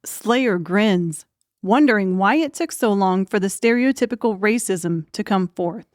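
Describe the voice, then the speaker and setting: A woman's voice: mid-range voice, unhurried, no echo, clean studio sound. A woman reading dramatic scripted audiobook narration as the storyteller.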